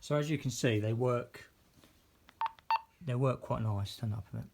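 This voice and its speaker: A man's voice: mid-range voice, moderate pace, gravelly, heavy background noise. A British man giving a casual, unscripted product demonstration as a reviewer. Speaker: product reviewer filming an unboxing.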